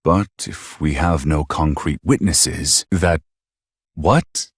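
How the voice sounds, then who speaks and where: An actor playing a polite but scheming, secretly crazed video game villain, with formal, articulate, theatrical phrasing. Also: male voice, very deep voice, slow, slightly rough, no room sound, studio quality, exaggerated voice. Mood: puzzled, questioning.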